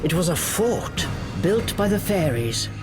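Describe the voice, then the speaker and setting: A woman playing a storybook narrator, telling a fairy tale in a video game in a measured, dramatic way. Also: on the low side, big pitch swings. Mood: storytelling. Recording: music behind the narration.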